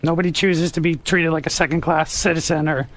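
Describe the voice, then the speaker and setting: A young man telling a personal story in a radio interview, speaking earnestly and haltingly. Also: on the high side, fairly even intonation, a bit bright.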